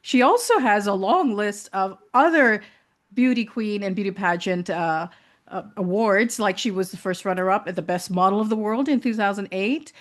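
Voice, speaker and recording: A woman's voice: medium pitch, moderate pace. A woman hosting an interview show, introducing her guest in a chatty way with many fillers and restarts. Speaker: talk show host.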